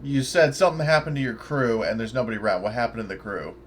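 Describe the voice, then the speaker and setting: A man voicing a bitter, sharp-tongued female space marine in a tabletop game. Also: mid-range voice, quick pace, slight background noise, lightly acted. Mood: suspicious.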